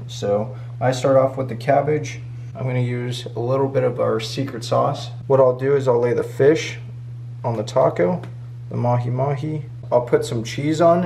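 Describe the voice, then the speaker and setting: Guy in his 30s doing a chatty cooking vlog in his kitchen, kinda gravelly. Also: mid-range voice, a little mellow, a little hiss or hum. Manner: upbeat, chatty, explains each step. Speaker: cooking vlogger. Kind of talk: casual cooking vlog to camera. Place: home kitchen, indoors.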